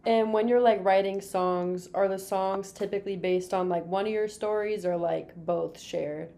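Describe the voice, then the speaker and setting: A woman's voice: mid-range voice, fairly even intonation, normal speed, mellow. A woman hosting a podcast, asking friendly, brief interview questions. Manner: friendly, brief, lots of mm-hmms. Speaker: podcast host.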